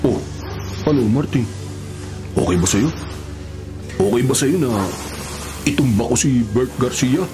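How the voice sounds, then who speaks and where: A man's voice: mid-range voice, unhurried, pauses often, lightly acted. An actor playing a loyal, scheming male convict who talks in an insistent, conspiratorial way in a radio drama. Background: music.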